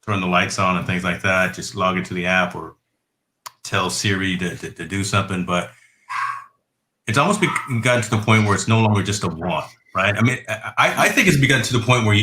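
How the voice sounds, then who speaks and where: Deep-voiced man in an echoey room. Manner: relaxed, rambling, with restarts. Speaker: host. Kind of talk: casual tech chat on a call.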